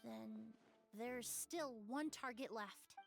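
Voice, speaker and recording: A woman voicing a composed, analytical female investigator in a video game, measured and precise, explaining things. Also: fairly high pitch, gravelly, faint music behind. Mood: calm, explanatory. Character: composed, analytical woman, a forensic perfumer sleuth. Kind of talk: scripted video game voice acting.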